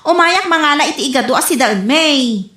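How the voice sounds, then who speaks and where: Casting: actress for pert Ilocano daughter, high bright voice with a plaintive edge, radio comedy, echoing room sound. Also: female voice, lively intonation, fairly quick.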